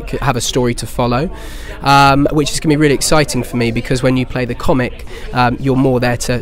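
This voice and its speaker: A man in his twenties with an English accent gives casual interview answers. His voice sits at a middle pitch, and he talks as a stage actor would about his work. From Bournemouth, England. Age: 20s. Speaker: pantomime actor.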